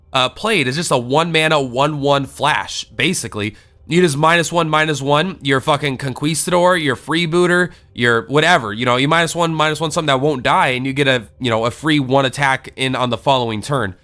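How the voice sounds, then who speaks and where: A man's voice: on the high side, sharp tone. A man with a stuffy, congested voice from a cold, giving a casual, rambling guide to his card game deck as a streamer. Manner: rambling, many fillers and self-corrections.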